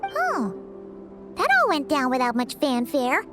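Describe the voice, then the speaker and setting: A tiny, excitable, childlike game fairy. The voice is extremely high and squeaky and bounces animatedly up and down in a perky, chatty way. Music is clearly heard behind it. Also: female voice, measured pace, full character voice. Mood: worried, let down. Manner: perky, speaks of herself in third person. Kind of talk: video game voice acting. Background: background music.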